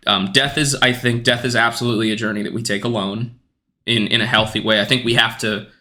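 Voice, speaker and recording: Twenties man, bright tone, earnest and rambling, lots of restarts; casual podcast talk. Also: fairly low pitch. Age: 20s. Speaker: podcast guest.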